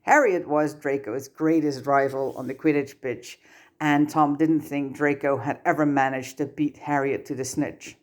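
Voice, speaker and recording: A woman's voice: low voice, steady tone, normal speed. A woman reading a story aloud as scripted audiobook-style narration. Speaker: amateur fan fiction narrator.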